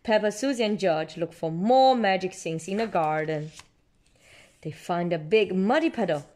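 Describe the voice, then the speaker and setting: A woman reading a children's storybook aloud in an expressive, encouraging way, as a parent does to a child. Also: on the low side, normal speed. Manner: expressive, encouraging, simple child-directed speech. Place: indoors at home, seated with book.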